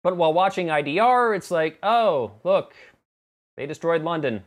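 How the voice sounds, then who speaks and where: A man's voice: fairly high pitch, expressive, normal speed. A man in his thirties giving a conversational movie review to camera in a sarcastic, exasperated manner. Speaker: film review vlogger. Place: small home office room indoors.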